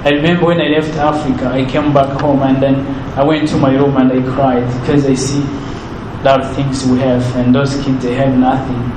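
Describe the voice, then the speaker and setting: A young man with a Rwandan accent, a native Kinyarwanda speaker, talks earnestly in an interview. He speaks haltingly and repeats words as he searches for them. The recording has a roomy echo and steady background noise. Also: medium pitch. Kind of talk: heartfelt interview answers.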